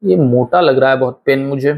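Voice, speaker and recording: A man with an Indian accent and a hoarse throat, giving a chatty exam-prep lecture and reading English definitions slowly. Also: medium pitch, muffled recording. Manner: chatty, code-switching, reads English slowly. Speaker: online tutor.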